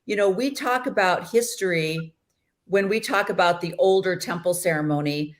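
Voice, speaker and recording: A woman's voice: lowish voice, moderate pace. A middle-aged woman hosting a casual interview over a video call, chatty and rambling. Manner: chatty, laughs easily, rambling. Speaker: host.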